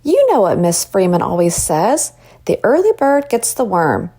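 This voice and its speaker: Woman reading as a sensible big sister, animated. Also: mid-range voice, normal speed, husky. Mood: reasoning. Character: sensible, bossy nine-year-old big sister.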